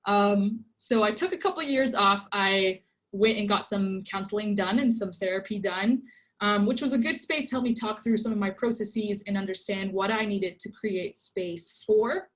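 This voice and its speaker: A woman's voice: mid-range voice, lively intonation, moderate pace, rough recording. A woman in her twenties who grew up in Egypt, leading an online workshop in a casual, chatty way with many ums and likes, on a computer mic. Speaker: workshop presenter.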